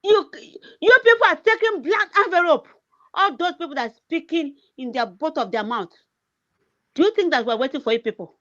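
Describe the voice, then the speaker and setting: Nigerian lady ranting on a livestream, lots of eh's and repeats, very high pitched. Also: expressive, normal speed, bright, forceful tone, lo-fi sound. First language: not English. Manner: repetitive, emphatic, heavy filler "eh". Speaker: activist livestreamer. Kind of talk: impassioned political rant.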